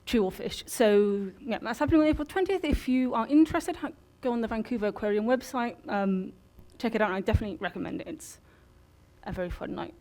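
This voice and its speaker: Female lecturer, informal and halting, interrupts herself, addressing a public event on a microphone. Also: a little high, dark tone. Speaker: public programs manager and lecturer. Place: live public lecture at an aquarium. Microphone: microphone for live streaming.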